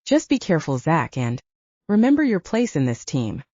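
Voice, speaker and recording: A woman's voice: sits low, very animated, normal speed, mellow, dry recording, dull audio. A woman voicing a stern, short-tempered male leader of a werewolf military team in an audiobook, with a curt, commanding manner. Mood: stern, angry.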